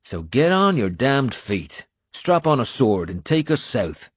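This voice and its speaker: A man voicing a blunt, gruff Irish male warrior with an Irish accent, scolding and coarse. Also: mid-range voice, very animated, measured pace, no echo, pitched above the performer's own voice.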